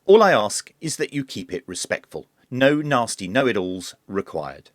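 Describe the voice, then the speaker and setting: A man hosting a hands-on tech demonstration, explaining each step in a measured, informal way. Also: fairly low pitch, big pitch swings, dry recording, clean studio sound. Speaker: host of a radio tech review channel.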